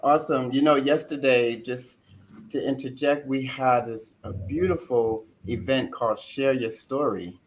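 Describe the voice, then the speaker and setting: A man co-hosting a community panel, effusive and quick with praise. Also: medium pitch, fairly even intonation. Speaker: co-host.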